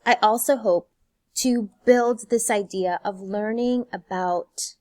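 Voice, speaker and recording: A woman in her thirties, a teacher whose first language is Spanish, speaks to her incoming class in a slow, measured way. Her tone is earnest and encouraging. The recording is dry and close, with no room sound. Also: mid-range voice, darkish tone, no echo. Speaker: high school Spanish teacher. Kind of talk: scripted welcome message to students.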